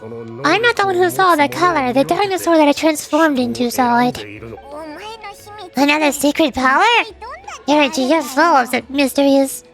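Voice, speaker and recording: A woman streaming a video game in a high, cute voice. She chats playfully and reads dialogue aloud between her own comments. Faint music sits behind her. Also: moderate pace. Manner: cutesy, playful, chatty.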